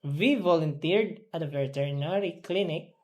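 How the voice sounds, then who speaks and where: A woman learning English, reading drilled words and sentences aloud in a careful, halting way. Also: low-pitched, darkish tone.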